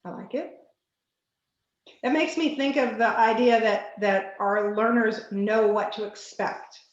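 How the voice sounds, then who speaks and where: A woman's voice: on the high side, steady tone, a little slow. Woman facilitator on a dull, roomy call. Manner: searching aloud, many fillers and restarts. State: hoarse, losing her voice. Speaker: meeting facilitator. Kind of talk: casual online group discussion.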